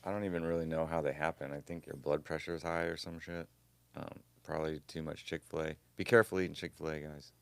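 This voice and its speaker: A man's voice: rumbling low, fairly even intonation, fast talker, gravelly, clean tone, no echo. A man hosting a podcast in a casual, slangy chat style, asking questions informally. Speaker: podcast host.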